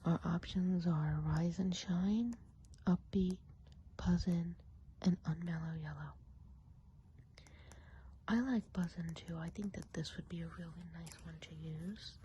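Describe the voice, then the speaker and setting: A woman whispering softly and breathily in a chatty, confiding ASMR roleplay as a gossipy witch of the woods. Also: on the low side, breathy edge, muffled recording. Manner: confiding, unhurried, casual gossip. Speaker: ASMR performer.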